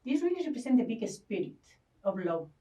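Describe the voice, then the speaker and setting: A woman's voice: fairly high pitch, very animated, a little fast, warm tone, recorded from a distance. A woman from Ecuador, a guest talking earnestly about spirituality, often stopping to search for words. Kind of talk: spiritual conversation.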